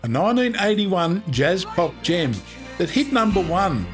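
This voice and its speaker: A man's voice: high-pitched, sing-song, a little slow. An Australian man presenting music history in upbeat, colloquial scripted narration. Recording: music playing behind. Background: background music.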